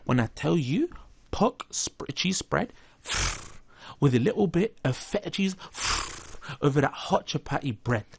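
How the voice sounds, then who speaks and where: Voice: medium pitch, lively intonation, slow, creaky, slightly rough. A co-host with Swahili as a first language, reading listener messages aloud and teasing in a casual chat.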